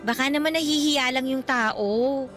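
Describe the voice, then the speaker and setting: A shy, secretive young student girlfriend in a radio play with a high voice. She dodges questions and playfully scolds her boyfriend, with soft music in the background. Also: a little slow. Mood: defensive. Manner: flustered and evasive, with playful scolding. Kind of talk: radio drama acting.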